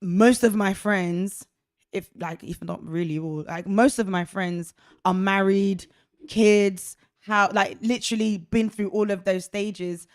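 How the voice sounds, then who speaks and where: Woman, 30s, co-host; chatty, self-deprecating, mid pitch, dry close sound. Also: fairly even intonation, slow pace, no room sound. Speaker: podcast co-host. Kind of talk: casual faith discussion chat.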